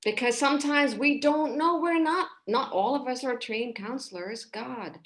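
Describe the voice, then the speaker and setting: A woman's voice: sits high, moderate pace. A woman in her thirties from Hong Kong speaks as a podcast guest on a video call from a small room. She talks in a casual, heartfelt way and gestures freely as she explains her ideas. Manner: warm, expressive, gestures while talking. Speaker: guest, relationship coach.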